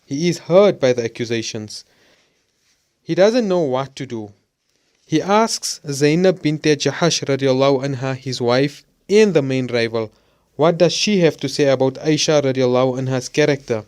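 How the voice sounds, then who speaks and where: A man's voice: medium pitch, lively intonation, moderate pace, darkish tone, clear, resonant tone, lightly acted. A man playing an earnest, concerned young student in an audio drama. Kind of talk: scripted audio drama acting.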